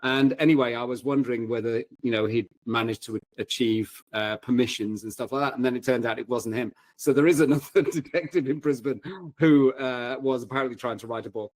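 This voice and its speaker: A man's voice: medium pitch, steady tone, fairly quick, few pauses, no room sound. A man talking casually as an interview guest, telling rambling, wry anecdotes and often breaking off mid-sentence. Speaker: podcast guest, paramedic and fiction writer.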